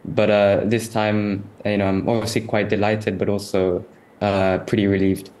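Teenage boy with a Southeast London accent, lowish voice, hesitant polite interviewee. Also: flat delivery, moderate pace, darkish tone. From India, raised in the UK. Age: teens. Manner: hesitant, many fillers and restarts, polite. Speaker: interview guest, chess player. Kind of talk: remote interview answers.

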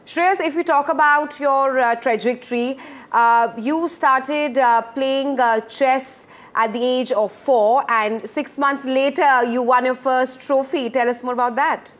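A woman's voice: high voice, steady tone. Woman news anchor, formal TV delivery. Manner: measured, formal presenting with brief listening murmurs. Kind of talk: TV news interview.

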